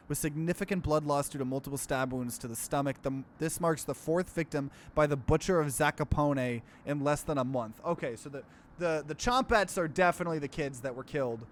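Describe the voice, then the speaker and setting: A man's voice: a little high, a little hiss or hum. A man hosting a let's play, casually commenting and reading game text aloud with expression.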